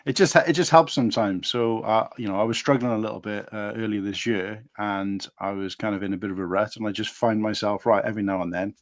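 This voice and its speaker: A man's voice: fairly low pitch, fairly even intonation, a little fast, few pauses, no echo, clean studio sound. A man from Wales with a Welsh accent, chatting casually as a guest on a livestream, hesitant and rambling. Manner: rambling, hesitant with fillers and restarts.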